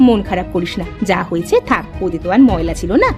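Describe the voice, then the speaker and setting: A woman voicing a caring, reassuring elder co-wife in a village cartoon, with a gentle sisterly manner. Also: fairly low pitch, fast talker, music in the background, voice pushed down, natural voice. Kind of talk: Bengali moral-story cartoon dialogue.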